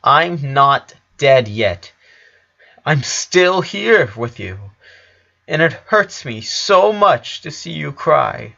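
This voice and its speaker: A man reads as a dying eleven-year-old boy in a sad storybook drama. The boy is tearful and desperate, stammering as he pleads. The delivery is slow, and the sound is dull and lo-fi. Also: a little high, very animated, creaky, pushed and bright, full character voice. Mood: tearful pleading.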